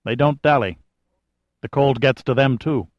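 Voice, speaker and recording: A man's voice: medium pitch, a little slow, dry recording, highs cut off, lightly acted. A man voicing a cautious but unafraid militant lookout in an audiobook thriller, blunt and matter-of-fact. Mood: watchful, businesslike.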